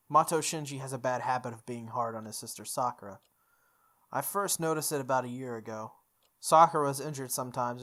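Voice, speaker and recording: A woman with a low voice hosts a visual novel playthrough. She reads the narration aloud casually and reacts informally as she goes. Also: a bit bright. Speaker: gaming channel host.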